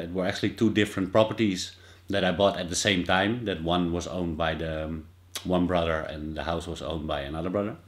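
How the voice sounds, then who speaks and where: Dutch man, low voice, hesitant. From Netherlands. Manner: hesitant, many fillers and restarts. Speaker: vlogger. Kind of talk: casual informative vlog. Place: small indoor office with whiteboard.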